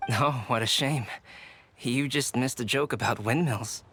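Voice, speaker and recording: A man voicing a calm, polite, thoughtful young artist in a video game, speaking in formal, measured phrases. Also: mid-range voice, slight background noise. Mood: amiable. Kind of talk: scripted video game voice acting.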